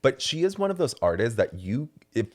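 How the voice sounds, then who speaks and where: A man's voice: mid-range voice, sing-song, a little fast. A man hosting a podcast, gushing and sassy in casual chat. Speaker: podcast host.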